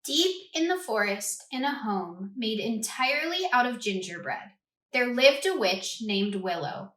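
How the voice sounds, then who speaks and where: A woman reads a children's picture book aloud with a bright, clear, slightly high voice. She tells the story expressively and stops to ask her young listeners questions. The voice echoes in a roomy space. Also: sing-song, unhurried. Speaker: read-aloud host for children. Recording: roomy echo.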